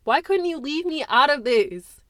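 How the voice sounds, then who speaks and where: A woman voicing a hurt teenage girl who shouts bitterly at her mother through tears. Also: fairly high pitch, lively intonation, normal speed, dry recording, clean studio sound, pitched above the performer's own voice. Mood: furious.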